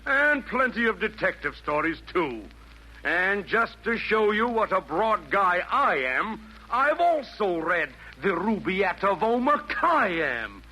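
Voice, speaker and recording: A man's voice: high-pitched, very animated, slow, pushed and bright, exaggerated voice. An actor playing a vain, scheming male villain from hell, delivering pompous, florid rhymed verse in theatrical 1940s radio style. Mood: boastful, menacing. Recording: noisy vintage 1940s radio broadcast.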